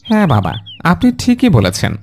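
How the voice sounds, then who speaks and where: A woman acts an easygoing young parent in a moral cartoon. The voice is fairly low and extremely animated in pitch, casual and deferential to an elder. Faint noise and birdsong sit behind it. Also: moderate pace, exaggerated voice. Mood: agreeable. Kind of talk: Islamic moral cartoon dialogue. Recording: background noise with chirping birds.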